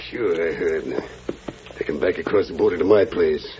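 An actor playing a terse, suspicious cattle rustler with an American Western accent in a 1940s radio drama. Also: male voice, low-pitched, normal speed, darkish tone, close to an everyday voice. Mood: wary, conniving. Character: wary cattle rustler, villainous rancher. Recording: dull, noisy 1940s radio recording.

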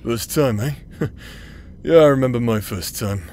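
Man voicing easygoing rogue, middle pitch, cocky, teasing, caring, audio drama, slight background noise. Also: a little slow, darkish tone, lightly acted. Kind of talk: scripted audio roleplay acting.

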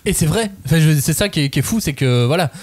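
A man hosting a podcast, leading a relaxed round-table with many hesitations. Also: fairly high pitch, fast talker, breathy edge. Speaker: podcast host. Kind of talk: casual podcast discussion.

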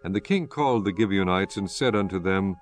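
A male narrator reads scripture formally. His voice is fairly low, his delivery solemn and measured, and music plays faintly beneath him. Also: animated.

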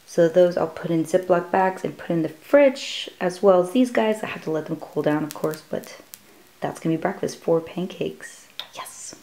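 A woman's voice: lowish voice, animated, moderate pace, warm tone, slight background noise. A young woman in her twenties chatting casually as she explains her meal prep step by step in her home kitchen, talking to a camera on the counter. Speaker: fitness vlogger. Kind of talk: casual cooking vlog. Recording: echoey kitchen with music underneath. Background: background music.

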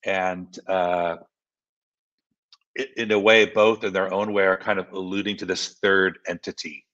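A man's voice: deep voice, flat delivery, a little slow, fairly bright tone, dull audio. A man presenting his own artworks on a video call, hesitant with many fillers. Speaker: artist and panel speaker.

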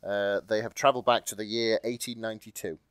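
A man's voice: lowish voice, steady tone, quick pace, clear, resonant tone, no echo. Wry man hosting, dry close sound. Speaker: podcast host reading and recapping fan fiction. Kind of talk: casual comic chat with read-aloud.

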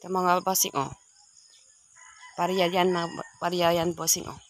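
A chatty young man talks viewers through his backyard farm and keeps calling them bosses. His voice sits fairly high for a man. It is recorded on a phone outdoors, with roosters crowing and chickens clucking clearly in the background. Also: level tone, moderate pace, a bit bright, slight background noise. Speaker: farm vlogger. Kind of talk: casual tour narration to viewers.

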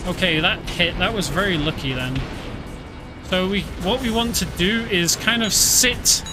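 A man's voice: sits high, measured pace, music in the background. A man with a British accent gives casual, chatty commentary on a game as he plays. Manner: relaxed, chatty, explaining as he plays. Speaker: streamer.

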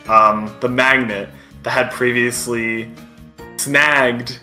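A man's voice: medium pitch, sharp tone. A man narrating a tabletop game as game master, improvising descriptions in hesitant, casual wording.

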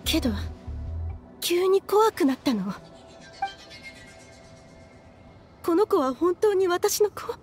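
A woman's voice: extremely high pitch, animated, a little slow, darkish tone, soft background music. A woman playing a grieving, obsessive mother in a Japanese anime game, speaking with fervent emotion. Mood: sorrowful, confiding. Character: grieving, obsessive mother desperate to revive her daughter.

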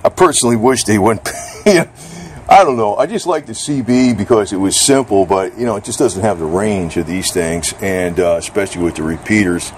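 A man's voice: fairly low pitch, a little fast. A man giving a casual, rambling hands-on review in an informal, slangy way with some mild cursing. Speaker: product reviewer. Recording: clear background noise, outdoor ambience.

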